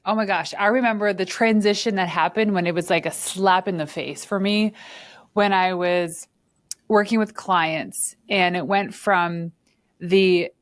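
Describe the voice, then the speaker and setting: A woman from Northern Ontario hosting an interview podcast, chatty and quick to laugh, with plenty of fillers. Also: mid-range voice, no room sound, studio quality. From Northern Ontario, Canada. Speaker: podcast host. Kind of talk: casual interview chat.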